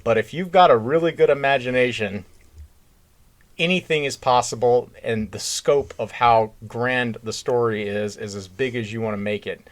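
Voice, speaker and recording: A man with a mid-pitched voice co-hosts a podcast in loose, unscripted conversation. He talks informally and puts his views across with emphasis. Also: normal speed. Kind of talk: casual unscripted podcast chat.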